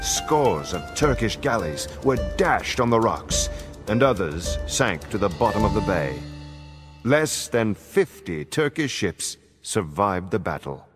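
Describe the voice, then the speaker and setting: Man narrating history with lively, sweeping intonation. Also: on the low side, husky. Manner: measured, formal storytelling delivery. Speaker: narrator of game campaign cutscenes. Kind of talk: dramatic scripted historical narration. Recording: music behind the narration. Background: background music.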